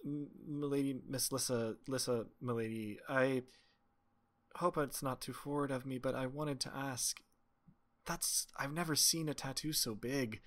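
A man's voice: medium pitch, fairly even intonation, a little slow, natural voice. A man voicing a shy, flustered young serving girl, stammering and deferential, in a tabletop game.

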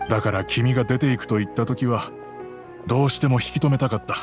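An actor playing a middle-aged, fatherly master of a wealthy house in an anime game, measured, gentle and remorseful. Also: medium pitch, close to an everyday voice. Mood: earnest, fatherly. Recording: soft music behind the voice.

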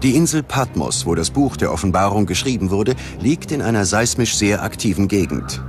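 A man's voice: fairly low pitch, sing-song, moderate pace. A man narrating a documentary from a script in a grave, dramatic manner. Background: background music.

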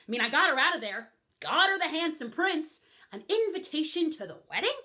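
A woman's voice: high voice, big pitch swings, a little fast, bright, forceful tone, pitched above the performer's own voice, exaggerated voice. A woman playing a put-upon fairy godmother who complains chattily and indignantly in a comic monologue. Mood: aggrieved. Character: grumbling middle-aged female fairy godmother, put-upon.

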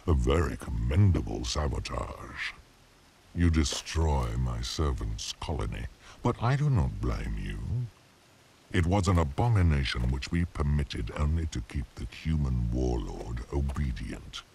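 A man voicing a cruel demon emperor in a video game, gravelly and very deep, with grandiose, contemptuous pronouncements. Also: big pitch swings, a little mellow, slight background noise, full character voice. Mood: cold menace. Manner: slow, grandiose, theatrical pronouncements. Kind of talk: video game villain acting.

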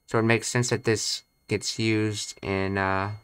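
A man casually thinking aloud as he studies a language on a livestream, halting and repeating words. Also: lowish voice, flat delivery, fairly bright tone, clean tone. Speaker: streamer learning Japanese.

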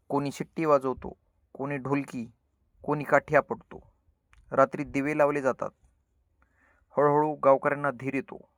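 A man narrating a scripted children's story as a storyteller, with measured, dramatic delivery in short phrases. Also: medium pitch, fairly bright tone, no room sound, clean studio sound.

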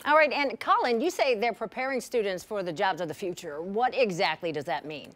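A woman's voice: medium pitch, expressive, brisk, background noise. A woman news anchor presenting a scripted story and handing to a reporter. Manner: crisp, polished anchor read.

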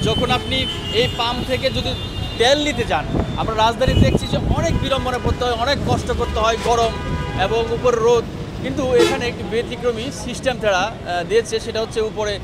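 A man reporting on location at a petrol station with a handheld mic, talking at length with many hesitant pauses. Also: high-pitched. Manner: wordy, with frequent hesitations. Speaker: field reporter. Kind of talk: on-the-spot news report. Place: outdoors at a busy roadside petrol station. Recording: traffic noise outdoors.

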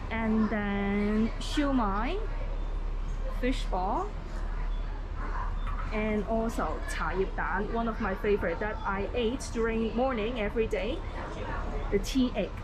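A woman's voice: mid-range voice, slow, pauses often. A woman from Hong Kong with a Hong Kong accent, Cantonese native, guiding viewers on a walking tour in a friendly, informative way, recorded outdoors on a handheld camera. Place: outdoors on busy streets and in malls. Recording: loud street noise and traffic.

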